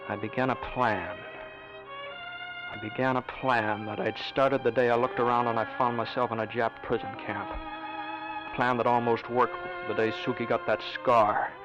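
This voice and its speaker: An actor playing a paralyzed American war veteran who narrates his inner plans for revenge in a halting, intense radio-drama monologue. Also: medium pitch, flat delivery, natural voice. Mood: brooding, resolved. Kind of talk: old-time radio drama first-person narration. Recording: dull old radio recording, loud music behind.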